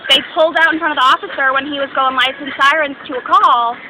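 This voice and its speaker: A woman's voice: sits high, fairly even intonation, quick pace. An American woman in casual conversation, answering questions as an interviewee. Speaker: emergency dispatcher being interviewed. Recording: noisy outdoor recording with traffic.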